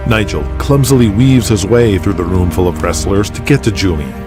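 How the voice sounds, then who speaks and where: A man's voice: low-pitched, moderate pace, music in the background. A man reading scripted dramatic narration for an audio drama, in the present tense.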